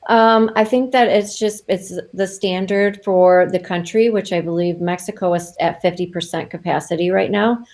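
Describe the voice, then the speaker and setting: A woman working as a travel advisor, chatting casually in an interview over a video call, with lots of fillers and quick laughs. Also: mid-range voice, darkish tone.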